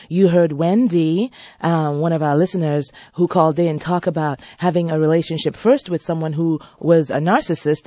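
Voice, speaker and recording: A woman hosting a radio talk show in a husky voice, chatty and playful, talking straight to her listeners. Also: on the low side, mellow, no room sound, muffled recording. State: husky voice from a seasonal cold. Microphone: studio microphone.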